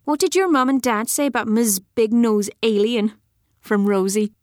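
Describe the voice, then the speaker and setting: Little schoolgirl played by a woman, frank and childlike, high voice, audiobook letters. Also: moderate pace, dry recording, clean studio sound, lightly acted. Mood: disgusted. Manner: childlike, plain short sentences. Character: outspoken little schoolgirl writing frank notes to friend.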